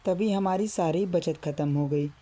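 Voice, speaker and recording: A woman's voice: on the low side, moderate pace, mellow, natural voice. A woman voicing an earnest, worried villager in a cartoon story. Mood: sad, worried. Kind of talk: Hindi cartoon story dialogue.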